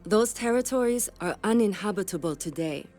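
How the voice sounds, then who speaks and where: A woman's voice: medium pitch, expressive, normal speed, a little mellow, soft background music, natural voice. A woman playing a skeptical military officer in a game cutscene, formal and matter-of-fact. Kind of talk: video game cutscene acting.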